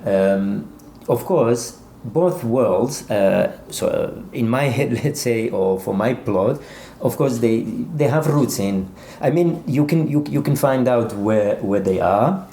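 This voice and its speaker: A male author gives a thoughtful interview in a soft-edged, mellow voice with plenty of gravel in it. He feels his way through each explanation, doubling back and repeating words. There is faint noise behind him. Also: medium pitch, animated, slow. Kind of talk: thoughtful literary interview talk.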